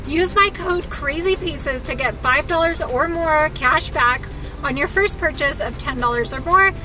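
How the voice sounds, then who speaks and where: High-pitched American woman vlogging over music. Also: unhurried, low-quality recording. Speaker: family vlogger. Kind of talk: casual vlog chat. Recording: music playing behind the voice.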